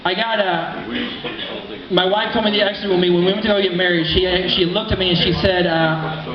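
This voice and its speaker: A man from Kansas doing a deadpan stand-up comedy set in a small bar, telling stories and acting out quotes. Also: high voice, normal speed, creaky, a crowd in the background. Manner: deadpan storytelling with acted quotes. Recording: echoey room, lo-fi, noisy.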